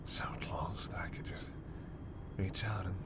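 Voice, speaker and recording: A man's voice: mid-range voice, animated, normal speed, heavy background noise, close to an everyday voice. A man playing a snarky, teasing vampire captive in a roleplay audio drama, talking with sardonic, flirtatious banter. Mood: predatory, seductive. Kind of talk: roleplay audio drama, one-sided dialogue.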